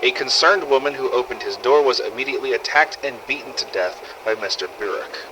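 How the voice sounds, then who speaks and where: A man playing a measured, analytical outbreak investigator, giving a precise formal report in a game audio log. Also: mid-range voice, noisy background, natural voice. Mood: clinical. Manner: clinical, precise.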